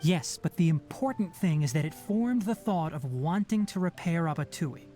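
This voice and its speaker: A man playing a calm scholarly ranger. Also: a little high, close to an everyday voice. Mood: explaining. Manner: measured, explanatory, gently commanding. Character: calm, scholarly young male forest ranger. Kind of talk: game cutscene dialogue. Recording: game audio with faint background music.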